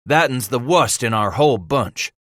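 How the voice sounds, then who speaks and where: A man's voice: mid-range voice, lively intonation, normal speed, fairly bright tone, dry recording, clean studio sound. A man voicing a big, hot-tempered frontier trapper in an audiobook, growling in rustic dialect. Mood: sly, gruff bargaining.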